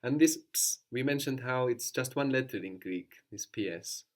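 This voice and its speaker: A man's voice: medium pitch, normal speed. A man teaching a language lesson, patiently prompting his student with questions and repeating the answers back.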